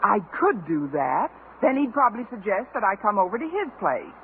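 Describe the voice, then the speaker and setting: An actress playing a wry, quick-witted schoolteacher with dry wisecracks in a 1950s radio sitcom. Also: female voice, medium pitch, very animated. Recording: noisy 1950 radio broadcast, narrow band, audience.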